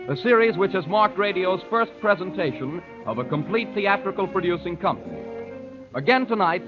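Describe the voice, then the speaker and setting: Male announcer, vintage 1930s radio: high voice, formal script, music clearly underneath. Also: muffled recording.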